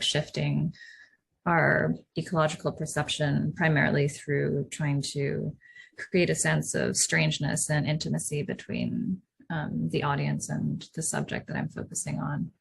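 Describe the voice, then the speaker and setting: A woman's voice: sits low, gravelly, slightly rough. A woman from Hong Kong, a guest artist on a video-call panel, speaking in a thoughtful way with frequent ums. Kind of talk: panel discussion.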